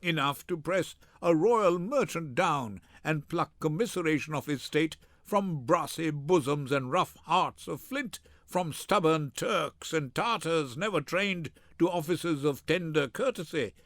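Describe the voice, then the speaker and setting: Imperious Duke , acted by a man: raised pitch, grave formal appeal, classical stage verse. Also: expressive, a little slow, few pauses, fairly bright tone, no room sound, studio quality. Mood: solemn appeal. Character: grave, imperious male Duke presiding over court. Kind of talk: Shakespearean dramatic reading.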